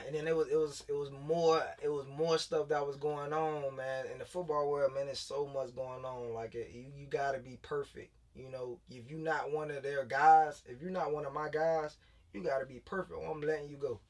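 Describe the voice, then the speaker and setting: A man's voice: on the high side, fairly even intonation, normal speed, far from the mic. Laid-back man in his late twenties, off-mic. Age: late 20s. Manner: loose, laid-back storytelling with many fillers and restarts. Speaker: guest, former pro football player turned high school coach. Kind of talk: casual interview talk.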